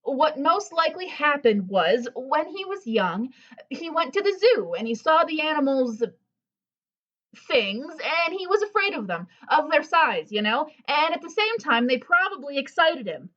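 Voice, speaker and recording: An actress plays a self-assured, gossipy housewife in a comic audio play. Her voice sits very high and swoops expressively as she holds forth pompously. The sound is a little dull and lo-fi. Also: big pitch swings, measured pace, fairly bright tone, full character voice. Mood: self-assured, advising. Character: bossy, know-it-all gossipy housewife friend, amateur psychologist. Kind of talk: comedic audio drama acting.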